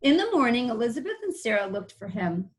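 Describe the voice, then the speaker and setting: A woman teacher reading a picture book aloud, pausing to explain things simply to young listeners. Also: fairly high pitch, very animated, a little hiss or hum.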